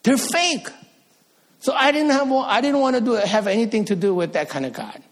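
A man's voice: extremely high pitch, lively intonation, moderate pace. A middle-aged man from Korea, preaching an earnest sermon as a pastor and rising to emphatic peaks.

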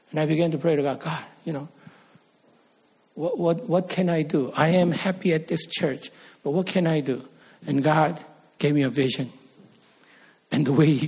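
Earnest male pastor, gravelly. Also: fairly high pitch, a little mellow. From Korea. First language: Korean. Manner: earnest, rises to emphatic peaks. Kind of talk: sermon.

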